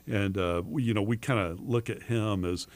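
A man talking casually in an interview, rambling and halting with many fillers and restarts, a little hoarse. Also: on the low side. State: hoarse, losing his voice. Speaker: distillery owner.

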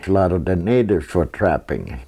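A man's voice: low-pitched, expressive, moderate pace, vocal fry, mellow, husky. An older man from Norway with a Norwegian accent, telling plain-spoken reminiscences as an interview guest. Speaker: interview guest, retired fisherman and trapper. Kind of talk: oral history reminiscence.

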